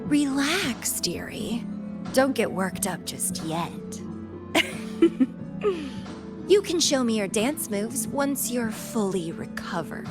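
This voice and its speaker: A slow, teasing, dangerous temptress. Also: female voice, a little high, very animated, music in the background. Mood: coolly amused. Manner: teasing, theatrical, unhurried. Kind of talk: video game voice acting.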